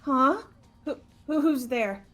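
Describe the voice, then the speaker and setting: A very high, jittery voice put on by a woman for a gloomy teenage girl in a visual novel game. She stutters and halts, sounding nervous and resentful. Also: lively intonation, slow pace, fairly bright tone, faint music behind, heavily acted. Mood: nervous, suspicious.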